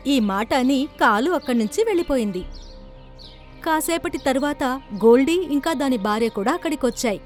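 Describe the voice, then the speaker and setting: A woman's voice: sits high, animated, fairly quick. A woman narrator reading a scripted children's story. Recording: background music under the voice.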